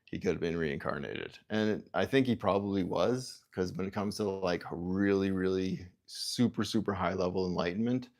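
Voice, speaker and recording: A man from Canada hosting a livestream, musing aloud in halting, self-interrupting sentences and reading out viewer comments. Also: deep voice, darkish tone. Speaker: livestream host. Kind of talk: rambling livestream chat.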